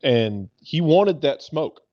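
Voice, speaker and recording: A man's voice: fairly high pitch, big pitch swings, takes it slowly, dry recording. A man giving casual sports analysis as a pundit, making his points with repeated phrases for emphasis. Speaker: soccer pundit on a talk show. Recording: slightly dull, lo-fi audio.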